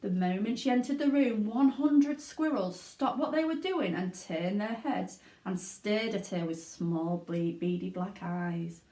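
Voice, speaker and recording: A woman narrating a children's storybook aloud in an expressive reading style. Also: medium pitch, a little slow, hardly any pauses. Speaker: teaching assistant reading aloud. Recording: echoey room.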